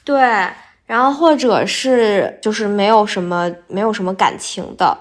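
A woman's voice: mid-range voice, moderate pace. Candid woman in casual interview chat. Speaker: podcast guest, voice actor.

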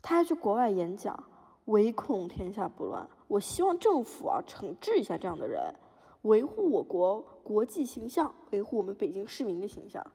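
Woman playing an indignant, animated older Beijing busybody. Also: medium pitch, normal speed, vocal fry, breathy edge, in a large hall, full character voice. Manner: aggrieved, boastful, busybody. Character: indignant older Beijing female citizen, proud meddling plaintiff. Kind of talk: satirical podcast courtroom drama.

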